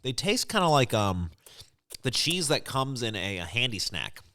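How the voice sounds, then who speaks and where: A man's voice: medium pitch, sing-song, moderate pace, pushed and bright. A man co-hosting a podcast in casual snack-tasting chat, informal and given to repeating short phrases. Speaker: podcast co-host.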